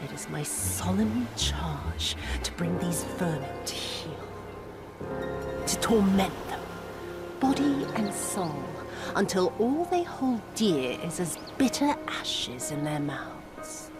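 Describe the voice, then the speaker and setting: A woman's voice: on the low side, big pitch swings, takes it slowly. A woman playing a cruel, sadistic and haughty imperial overlord in a video game, speaking with theatrical contempt and drawling menace. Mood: cold menace. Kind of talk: dramatic video game voice acting. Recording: loud music behind the voice.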